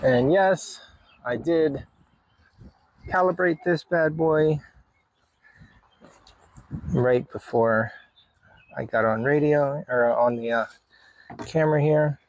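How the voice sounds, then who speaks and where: Guy doing a casual diy walkthrough, talks slow and kinda halting, dry close sound. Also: a little high, no room sound, highs cut off. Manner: halting, pausing mid-sentence. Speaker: hobbyist video host. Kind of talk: casual hands-on walkthrough to camera. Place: in and around a parked vehicle.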